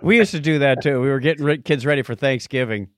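A man's voice: medium pitch, quick pace, a bit bright, no echo, studio quality. A man co-hosting a podcast with casual, joking banter and readings of listener messages. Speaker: podcast co-host.

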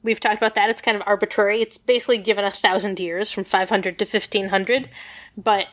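A woman's voice: medium pitch, steady tone, fast, fairly bright tone. A woman in a casual podcast chat, explaining history with lots of fillers and rambling asides.

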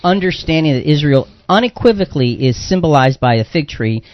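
A man's voice: on the high side, expressive, brisk, no room sound. A man hosting a conversational Bible discussion on the radio, emphatic and circling back over phrases as he builds a point. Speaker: radio show host. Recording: slightly dull, band-limited radio sound.

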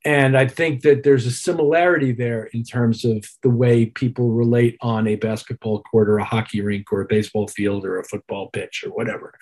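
Man, mid-pitched voice, husky, thoughtful, articulate, conversational interview guest. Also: darkish tone. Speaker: musician being interviewed.

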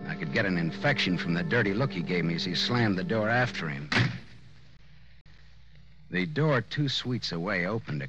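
A man playing a hard-boiled, wisecracking private detective who narrates his story in 1940s radio noir style. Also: deep voice. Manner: sardonic, slangy, wisecracking. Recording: dull old-time radio recording, loud music.

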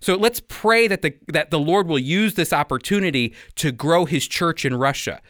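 A man's voice: fairly high pitch, moderate pace, a bit bright, dry recording, clean studio sound. A man hosting a religious radio program, speaking in an earnest, exhortative, conversational commentary style.

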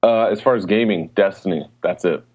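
Deep-voiced man chatting, slightly muffled. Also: fairly quick, a crowd in the background. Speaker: podcast co-host. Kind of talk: casual chat about gaming and travel.

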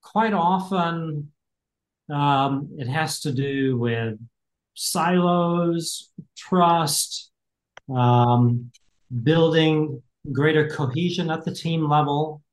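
A man's voice: on the high side, drawn-out words. American man in his fifties, reflective. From United States. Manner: reflective, many fillers and restarts. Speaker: guest, executive coach. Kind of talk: casual interview talk. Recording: roomy echo.

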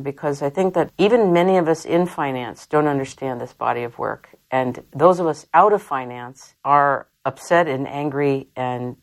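A woman in her thirties hosting an interview show from a hotel, effusive and chatty and quick to laugh. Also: low voice. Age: 30s. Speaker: talk show host. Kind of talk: enthusiastic radio-style interview hosting. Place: hotel in London.

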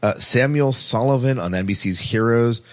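A man's voice: medium pitch, normal speed. A man hosting a podcast in casual discussion, often doubling back to repeat his phrases. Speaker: podcast host. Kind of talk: casual discussion of TV sci-fi characters.

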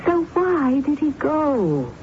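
On a tinny, narrow radio recording, a theatrical woman accused of murder. Her voice runs high and swings widely as she challenges and insists with emphatic drama, playing amateur detective. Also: big pitch swings, slow pace, full character voice. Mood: insistent, probing. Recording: narrow-band noisy old radio broadcast.

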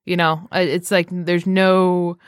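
Female podcast host, lower-leaning voice, conversational anecdotes with acted-out quotes, very dry sound. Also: little pitch movement, takes it slowly, no echo. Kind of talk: casual chat and anecdotes.

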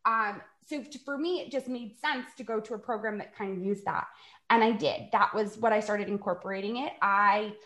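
A woman's voice: medium pitch, moderate pace, a bit bright. Chatty woman in her forties, echoey room. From New York. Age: 40s. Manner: chatty storytelling, laughs while acting out quotes. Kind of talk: casual podcast chat.

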